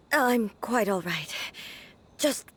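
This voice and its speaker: A woman voicing a composed, formal, weary female shogun in an anime video game. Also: a little high. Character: weary, dignified female shogun, a warrior deity.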